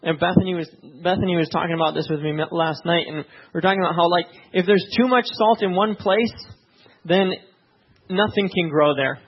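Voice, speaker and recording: A man with a notably high voice leads his church, first in a hushed prayer and then in a relaxed, conversational sermon full of quick check-ins with his listeners. He grew up in Tanzania. The recording sounds slightly muffled, with the top end rolled off. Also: breathy edge. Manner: conversational preaching with frequent "right?" check-ins. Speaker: pastor.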